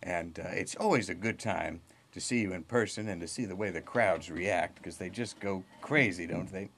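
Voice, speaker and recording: Low-voiced man hosting a folksy interview. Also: moderate pace, fairly bright tone, a little hiss or hum. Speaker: podcast host. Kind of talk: comic, chatty interview.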